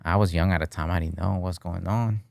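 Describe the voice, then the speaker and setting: A man's voice: low-pitched, fairly quick, gravelly, dry recording, clean studio sound. A man in his thirties from Peru, a guest chatting casually in an interview and filling his talk with "you know" and "right?". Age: 30s. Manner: relaxed and talkative, many "you know" and "right?", slips into Spanish. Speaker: guest, bartending business owner. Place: podcast studio with flags on the wall.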